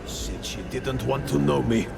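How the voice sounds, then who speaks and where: An actor playing the remorseful ghost of a peasant-born squire, mournful and halting, in a video game. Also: male voice, mid-range voice, steady tone, vocal fry, over loud music. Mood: sorrowful. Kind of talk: dramatic video game acting.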